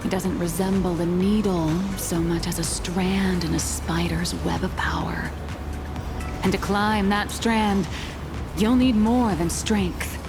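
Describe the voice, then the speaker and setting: An actress playing a poised, worldly woman who speaks in a calm, measured way in a video game. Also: mid-range voice, lightly acted. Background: music.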